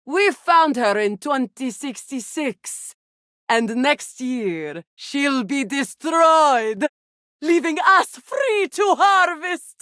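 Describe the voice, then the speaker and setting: A woman's voice: high voice, expressive, very slow, fairly bright tone, dry recording, studio quality, heavily acted. A woman playing a greedy, scornful villainess in a sci-fi video game, with haughty, theatrical delivery. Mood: gloating. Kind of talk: video game character voice lines.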